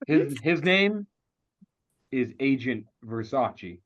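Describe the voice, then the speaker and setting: A dull, lo-fi recording of a scheming spy boss played by a man. His mid-pitched voice is smug and falsely soothing, with small hesitations, in a comedy table read. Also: big pitch swings, takes it slowly, fairly bright tone. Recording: dull, muffled lo-fi connection.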